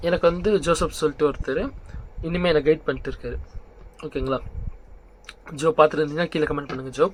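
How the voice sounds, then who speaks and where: A man's voice: on the high side, fairly even intonation, fast, a little hiss or hum, rough recording. A young man giving informal motivational advice as a vlog host, conversational and mixing in English phrases, often checking in with the listener. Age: young adult. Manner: conversational, checks in with rhetorical questions.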